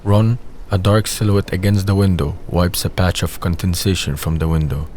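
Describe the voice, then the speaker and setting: A man's voice: low-pitched, fairly even intonation, moderate pace, a little mellow. A man reading scripted scene narration for an audio drama. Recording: faint background noise.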